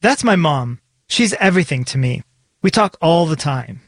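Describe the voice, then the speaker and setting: A man's voice: on the high side, animated, moderate pace, no echo, clean studio sound. A man hosting a podcast, reading a scripted, personal narration. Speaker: podcast host. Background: music.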